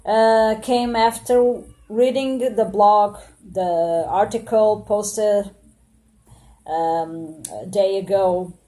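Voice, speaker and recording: A woman's voice: medium pitch, very slow, dark tone. A woman in her late thirties from Portugal, talking to camera in an earnest, reflective monologue full of hesitations and restarts. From Portugal, born in Angola. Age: 30s. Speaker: vlogger.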